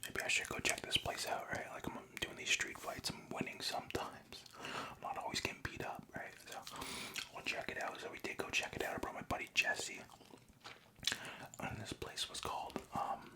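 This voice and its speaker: A young man from Canada telling a story in a soft, unvoiced ASMR whisper, casual and profane, close to the mic. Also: moderate pace. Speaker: vlogger.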